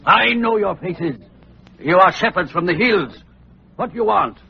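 An actor playing a nervous, deferential innkeeper in an old-time radio drama, hesitant and easily agitated. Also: male voice, sits high, expressive, measured pace, gravelly, slight background noise, heavily acted. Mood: alarmed, scolding. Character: nervous, obliging innkeeper, anxious and fearful. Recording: thin muffled old radio recording.

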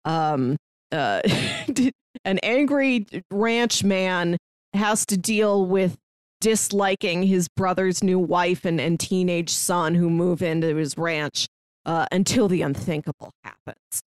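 A woman's voice: fairly low pitch, slow pace, smooth, no room sound, clean studio sound. A woman co-hosting a podcast, casually discussing film and retelling things by acting out imagined lines. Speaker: podcast co-host.

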